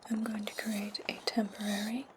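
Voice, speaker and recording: A woman playing a menacing, controlling witch in a whispered ASMR roleplay, commanding her servant in breathy, deliberate tones. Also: mid-range voice, level tone, background noise, natural voice. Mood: calm, calculating.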